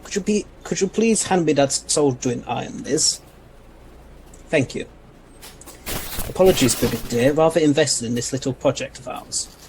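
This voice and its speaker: A man playing a proud, eccentric alien scientist and time traveler in an audio drama, gravelly and formally courteous. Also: on the high side, normal speed, long pauses, a little mellow, slight background noise, close to an everyday voice, poor audio. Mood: courteous, absorbed.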